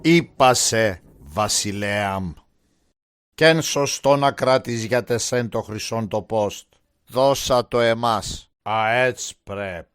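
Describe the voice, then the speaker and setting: A man's voice: fairly low pitch, clear, resonant tone, no room sound, clean studio sound, lightly acted. A man playing a proud young warrior hero of Greek myth in a cartoon, bold and declamatory, with a Pontic Greek accent. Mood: demanding. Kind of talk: scripted cartoon dubbing. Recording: music under the voice.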